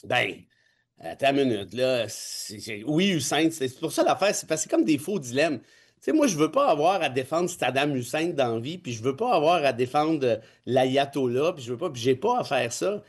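A man's voice: medium pitch, expressive, moderate pace, husky. Blunt, cynical Québécois man. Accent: Québécois French. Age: 40s. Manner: emphatic, cynical, colloquial. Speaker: guest, constitutional law expert. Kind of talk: casual opinion talk.

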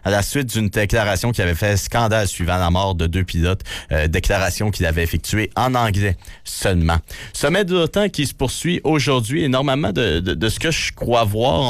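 A man with a Quebec French accent reads the radio news and traffic. His voice sits fairly low. He stays matter-of-fact but drops in casual slangy asides. The sound is close and dry, with no room around it. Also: no echo.

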